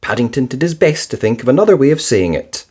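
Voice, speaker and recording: A storyteller giving an expressive children's read-aloud narration. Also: medium pitch, fast talker, breathy edge.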